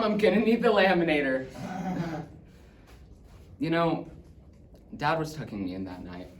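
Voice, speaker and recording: An earnest young boy, acted out by a man in a dramatic monologue. He is theatrical, eager and anxious, dropping into hush. The sound is distant and roomy with faint noise. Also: mid-range voice, lightly acted. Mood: excited. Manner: earnest, theatrical, swinging from excited to hushed. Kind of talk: dramatic monologue in speech competition style.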